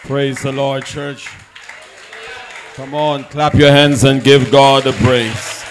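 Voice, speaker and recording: A man's voice: medium pitch, little pitch movement, slow pace, a little hiss or hum. A man leading a church service, singing hymn lines and praying fervently with the congregation. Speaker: church leader leading worship. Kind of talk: sung worship and spoken prayer. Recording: live service with loud musical accompaniment. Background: instrumental music.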